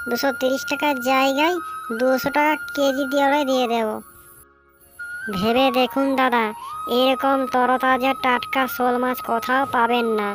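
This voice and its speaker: A woman playing a caring, eager poor village mother in an animated story. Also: high-pitched, moderate pace, music in the background, lightly acted. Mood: eager, hawking.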